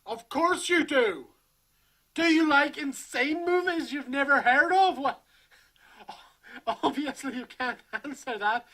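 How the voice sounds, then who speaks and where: A woman's voice: sits high, sharp tone, rough recording. A woman doing a scripted comedic show intro, with an awkward, sheepish delivery. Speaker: web video show host.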